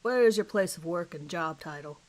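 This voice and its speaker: A middle-aged British detective played by a woman conducts a dramatized police interview. He is brisk and official, putting clipped, probing questions in a formal tone. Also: on the low side, animated, darkish tone, pitched below the performer's own voice, lightly acted.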